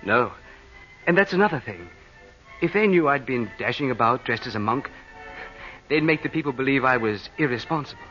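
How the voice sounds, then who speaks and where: An actor playing an earnest, self-deprecating young European prince in a 1940s radio drama. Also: male voice, mid-range voice, animated, brisk, natural voice. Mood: confiding, earnest. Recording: dull vintage radio recording with music.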